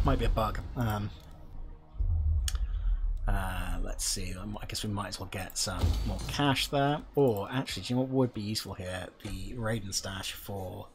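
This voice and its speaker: Hoarse man musing over a game. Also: fairly low pitch, a little hiss or hum. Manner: musing aloud, hesitant with many ums. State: hoarse from being unwell. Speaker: gameplay commentator. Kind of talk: casual let's-play commentary. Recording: music playing behind the voice.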